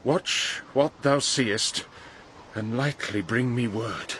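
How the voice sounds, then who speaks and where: A man's voice: mid-range voice, slow, fairly bright tone, breathy edge. An actor playing a dying, grief-stricken noble king in a solemn, stately verse radio drama. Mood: weary, grieving.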